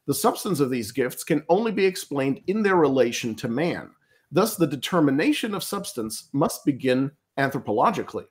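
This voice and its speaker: A man in his thirties, a native German speaker, talking earnestly on a video call from a home study, emphatic and halting with frequent restarts. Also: mid-range voice, sing-song. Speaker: guest commentator. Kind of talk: critical theological discussion on a video call.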